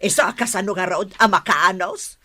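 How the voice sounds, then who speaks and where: A woman's voice: medium pitch, a little fast, pushed and bright. A woman playing a stern older mother in a radio drama, blunt and firmly scolding. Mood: reproachful.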